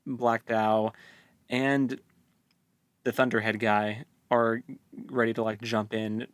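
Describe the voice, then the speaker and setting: A man's voice: lowish voice, level tone, slow, clean tone, no room sound. A man co-hosting a book club, retelling and discussing a story in casual, informal language.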